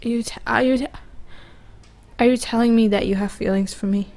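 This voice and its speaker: A woman playing a vulnerable young woman confessing love in an intimate ASMR roleplay, halting and hesitant, often repeating her words. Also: on the high side, steady tone, darkish tone, lightly acted. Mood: wistful, confused.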